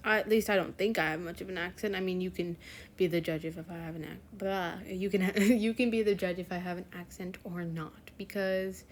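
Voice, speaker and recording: A woman's voice: fairly low pitch, steady tone, moderate pace, a little hiss or hum. Chatty rambling teen girl. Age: teens. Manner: rambling, chatty, many fillers and self-corrections. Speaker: fanfiction channel host. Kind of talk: casual chat to viewers.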